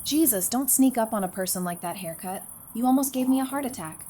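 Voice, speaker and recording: A woman's voice: a little high, lively intonation, brisk, dark tone, a little hiss or hum, close to an everyday voice. A woman acting a friendly, teasing survivor in an audio drama, with a casual, colloquial way of talking. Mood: startled, teasing.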